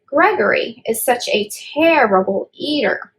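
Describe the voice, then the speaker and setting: An insistent storybook father goat who disapproves of fussiness, read by a woman. The delivery is slow and expressive, with lots of rise and fall. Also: on the high side, poor audio. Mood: disapproving.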